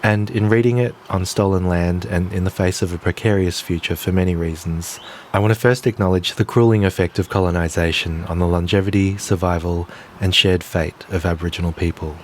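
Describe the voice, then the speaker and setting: A man reading his own short story aloud in a measured, quiet literary style. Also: low-pitched, fairly even intonation, a little hiss or hum.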